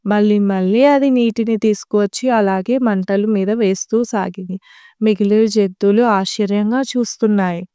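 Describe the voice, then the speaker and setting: A woman narrating a scripted children's story in clear, simple phrasing addressed to young listeners. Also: mid-range voice, steady tone, normal speed, warm tone, no echo, clean studio sound.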